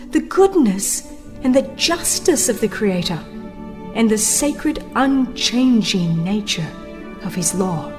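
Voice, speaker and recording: Slow, solemn woman narrator over music. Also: a little high, a little mellow. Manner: solemn, reverent, measured with dramatic emphasis. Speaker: audiobook narrator. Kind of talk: dramatized scripted narration of a religious book.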